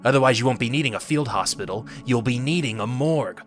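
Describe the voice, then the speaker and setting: A man's voice: mid-range voice, fast talker, close to an everyday voice. A man playing a cynical, scarred army medic in an audio drama, irritable, sardonic and scolding. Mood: grim warning.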